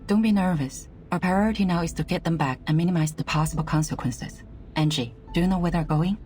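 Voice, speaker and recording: An actress plays a composed female senior official in a video game. Her voice is low, measured and formal, with an exacting, bureaucratic manner. Faint music plays behind her. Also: a little mellow, lightly acted. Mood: serious, commanding.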